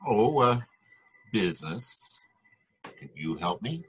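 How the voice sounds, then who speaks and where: An actor playing a wry, cynical private detective in 1940s radio noir style, with a husky voice and a sardonic manner. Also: male voice, fairly low pitch, sing-song, takes it slowly, slight background noise. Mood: cool, wry. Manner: sardonic, wisecracking. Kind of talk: 1940s radio noir acting, narration and dialogue.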